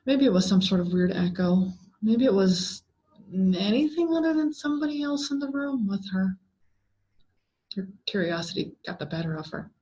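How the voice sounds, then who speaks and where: A woman reading a scripted horror story aloud in a deliberate, dramatic way with drawn-out words. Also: mid-range voice, expressive, mellow. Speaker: story reader. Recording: dull, lo-fi sound.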